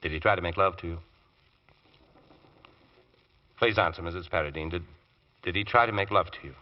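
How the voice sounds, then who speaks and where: An actor playing an intense, probing defense barrister in an old radio courtroom drama. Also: male voice, low-pitched, quick pace, close to an everyday voice. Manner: probing, insistent questioning. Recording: dull vintage radio recording.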